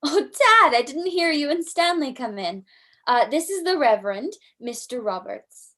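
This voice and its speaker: A woman's voice: high voice, animated, measured pace, pushed and bright, full character voice. A woman playing a gracious, courteous young daughter in an old-time radio style drama. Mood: apologetic, courteous. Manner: courteous, proper. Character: polite American daughter, young gracious new manor owner.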